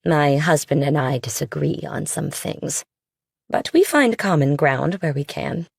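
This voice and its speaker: A woman's voice: sits low, expressive, measured pace, gravelly, husky, dry recording, studio quality, exaggerated voice. A woman voicing a stern, pious middle-aged missionary mother in audiobook dialogue. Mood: subdued.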